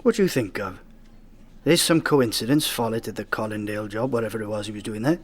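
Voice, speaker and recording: An actor playing a cheeky, sardonic police detective sidekick in a comedy radio play. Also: male voice, on the low side, quick pace, close to an everyday voice. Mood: cheeky, curious.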